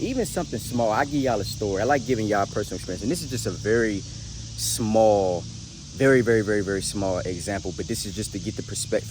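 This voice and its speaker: An American man in his late twenties giving a casual motivational talk straight to the audience, emphatic and slangy, repeating words and phrases for weight. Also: on the low side. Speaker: motivational vlogger. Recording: noisy recording with clear background noise.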